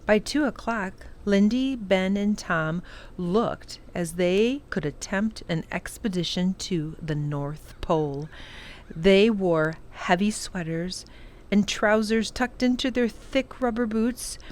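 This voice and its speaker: Female storytime reader, unhurried delivery, medium pitch, expressive narration for children. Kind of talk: reading a children's novel aloud.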